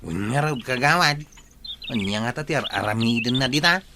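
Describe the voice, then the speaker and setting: A man's voice: medium pitch, animated, moderate pace, close to an everyday voice. A man playing a chatty, joking villager in an Ilocano radio comedy, with an Ilocano Filipino accent. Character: chatty, joking male villager helping at harvest. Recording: noisy track with chirping birds.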